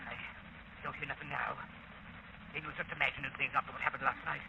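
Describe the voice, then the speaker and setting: A man's voice: mid-range voice, flat delivery, fast, gravelly, dull audio, background noise, natural voice. An actor playing a nervous male butler with no wish to investigate, in 1930s radio style on an old broadcast. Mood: uneasy, grumbling. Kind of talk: 1930s radio mystery acting.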